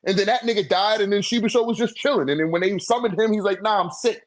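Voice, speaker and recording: A man chatting casually in an animated, slangy manner with heavy swearing, as a guest in a friendly debate. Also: high voice, fast talker. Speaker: guest debating a manga tier list. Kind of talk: casual chat.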